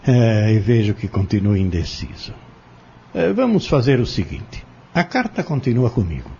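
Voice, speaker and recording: A man's voice: lowish voice, sing-song, moderate pace, creaky, warm tone, lo-fi sound, slight background noise. An actor in an audio drama playing an insistent, scheming man who goads and persuades in formal wording, bent on exposing a corrupt family. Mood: urgent, persuasive.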